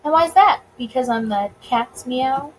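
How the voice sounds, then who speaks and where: A woman voicing a smooth, coy male mobster boss who teases and probes in a visual novel. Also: sits high, lively intonation, moderate pace, slight background noise, low-quality recording. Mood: teasing.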